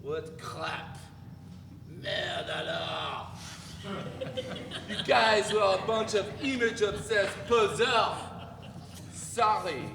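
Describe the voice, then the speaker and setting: Guy doing a snooty sarcastic french intellectual, slightly high voice, live novel reading, big echoey room. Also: creaky, heavily acted. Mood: scornful, mocking. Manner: mocking, declamatory, sprinkled with French exclamations. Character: sneering, pompous French male intellectual, sarcastic and theatrical. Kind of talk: dramatic reading of a novel before an audience. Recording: echoey hall, noisy live event recording.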